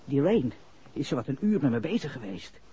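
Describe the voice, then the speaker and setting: A man's voice: medium pitch, very animated, a little fast, mellow, a little hiss or hum, close to an everyday voice. An actor playing a nervous, shifty young man under police suspicion, stammering slangy lines in a 1960s radio drama. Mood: defensive, uneasy. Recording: muffled vintage radio recording.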